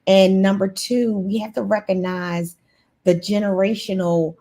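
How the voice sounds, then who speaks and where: A woman's voice: medium pitch, fairly even intonation, measured pace, warm tone. A woman guest in a casual interview, talking in an earnest, motivational way with frequent restarts. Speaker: guest, nurse CEO.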